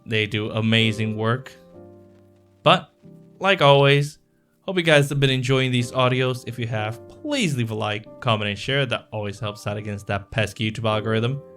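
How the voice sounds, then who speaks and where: A man's voice: medium pitch, moderate pace, fairly bright tone, faint music behind. A man hosting his audio channel, chatting casually to viewers in a rambling, self-interrupting way. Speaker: audio roleplay creator and channel host.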